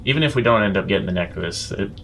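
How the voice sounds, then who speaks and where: A man's voice: lowish voice, animated, very fast, fairly bright tone, slight background noise. A man chatting casually as a player in a tabletop role-playing game.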